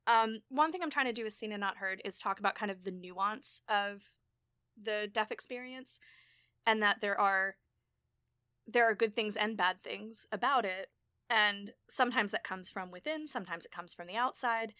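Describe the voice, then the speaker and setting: A woman's voice: medium pitch, normal speed, pushed and bright, clean tone, dry recording, clean studio sound. A woman hosting a podcast in casual conversation, chatty and laughing often as she tells stories. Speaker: podcast host.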